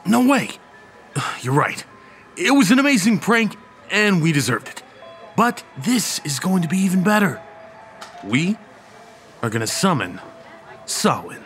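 A young man acting a goofy college stoner with showy salesman patter and theatrical, drawn-out emphasis. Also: high voice, big pitch swings, a bit bright, soft background music, full character voice. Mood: excited, teasing. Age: young adult. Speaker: actor in audio drama. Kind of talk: theatrical dialogue in old time radio style audio play.

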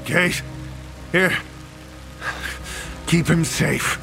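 Casting: brave sheriff for horror audio drama, slow, terse commands, high gravelly voice, strained urgency. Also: male voice, heavily acted. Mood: urgent, resolute. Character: valiant, indomitable sheriff straining through a rescue. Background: quiet music and rain.

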